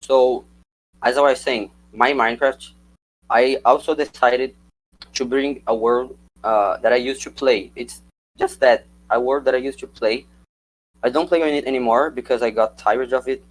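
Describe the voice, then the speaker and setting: A man's voice: medium pitch, fairly even intonation, moderate pace, poor audio. A young man whose first language is Portuguese, reading a prepared slide presentation and asking for the next slide. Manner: reads prepared script, calls for slides. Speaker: student presenter.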